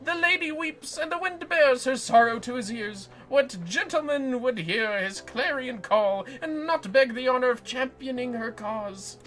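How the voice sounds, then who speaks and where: A woman voicing a self-important gentleman inspector from a video game, declaiming in grand, florid, theatrical exclamations. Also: high-pitched, lively intonation, measured pace, hardly any pauses, pitched above the performer's own voice, poor audio. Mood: heroic fervour.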